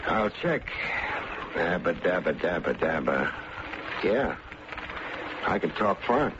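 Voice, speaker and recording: A man acting a wry, unflappable private detective in an old-time radio drama, with a deadpan, sardonic delivery. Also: deep voice, takes it slowly, exaggerated voice. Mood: defiant, sardonic. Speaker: lead actor and narrator of a detective serial. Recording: muffled, noisy vintage radio recording.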